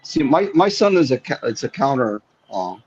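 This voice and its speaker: A man's voice: mid-range voice, very animated, normal speed, over a noisy crowd. A man from New Jersey who partly grew up near Naples, chatting casually on a call-in podcast, rambling and restarting his sentences. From New Jersey, raised partly near Naples, Italy. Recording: dull lo-fi audio.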